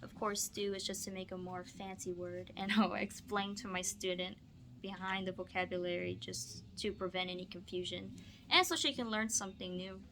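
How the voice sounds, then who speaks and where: A woman teaching through a picture-book read-aloud, moving between expressive storybook reading and casual explanatory asides. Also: mid-range voice, normal speed, slight background noise.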